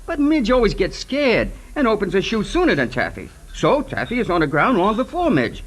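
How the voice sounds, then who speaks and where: High-voiced, aggrieved parachute rigger. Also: male voice, very animated, moderate pace, a bit bright. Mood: defensive. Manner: nervous, stammering protest. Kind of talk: 1940s radio acting. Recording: faintly noisy old radio recording.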